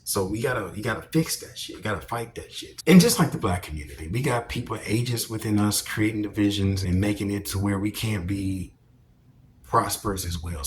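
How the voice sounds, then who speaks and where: A man giving a blunt, profane, opinionated monologue in a deep, projecting voice. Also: slight background noise. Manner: blunt, profane, preachy and emphatic. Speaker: commentary vlogger.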